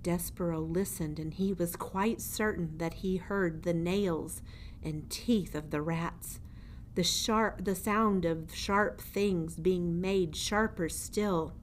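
A woman reads a children's story aloud like a teacher to young listeners. Her narration is expressive and very unhurried, in a slightly low voice. The recording carries some background noise.

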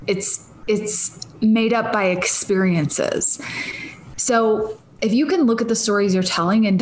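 Minnesotan lady in her 30s doing her solo podcast, rambly, goes off on tangents, faint hum behind her. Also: medium pitch. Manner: rambling, stream of consciousness, laughing asides. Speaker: podcast host. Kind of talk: casual solo podcast monologue.

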